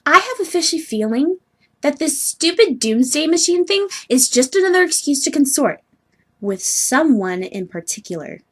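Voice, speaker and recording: A woman voicing an earnest, bubbly young female troll heiress from the sea, with expressive scolding, in an amateur audition style. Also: high voice, moderate pace. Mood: probing. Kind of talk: amateur animated-webcomic voice audition acting.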